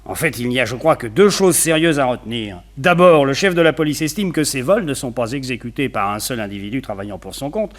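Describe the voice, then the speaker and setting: An actor playing a well-connected, opinionated society gentleman in a French radio detective play, talkative, confiding and wryly gossipy. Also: mid-range voice, a little fast, few pauses, natural voice. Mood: confiding, briefing.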